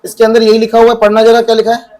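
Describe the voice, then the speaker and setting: Male teacher, high voice, quizzes the class and answers himself. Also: monotone, a little mellow, poor audio. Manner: asks students questions and answers them himself; reads definitions from the book slowly. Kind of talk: classroom economics lesson.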